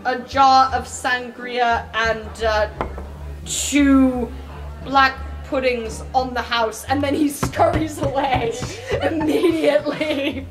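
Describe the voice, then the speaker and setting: A woman with a high voice improvises in a tabletop roleplay game. She speaks slowly and casually. There is faint music behind her. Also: fairly even intonation. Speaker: player on a roleplay podcast.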